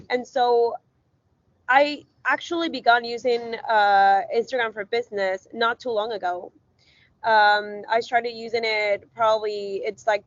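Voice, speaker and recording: Woman guest from Ecuador, higher voice, earnest and rambling; dry, dull lo-fi call audio. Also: no echo. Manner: rambling, earnest, many fillers. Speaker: guest, visibility strategist. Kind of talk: casual interview talk.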